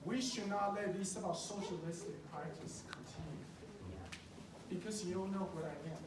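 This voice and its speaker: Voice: medium pitch, normal speed. Echoey, impassioned public speaker from Taiwan. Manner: emotive, emphatic, repeating phrases for effect. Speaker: public speaker at a school board meeting, treats patients. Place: indoor public meeting room. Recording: distant mic in echoey, noisy meeting room.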